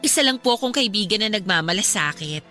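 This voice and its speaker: A woman's voice: mid-range voice, expressive, quick pace, pushed and bright, faint music behind. A woman playing an outspoken, caring young friend in an earnest radio drama style. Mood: indignant. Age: young adult. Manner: earnest, emphatic, polite yet confrontational.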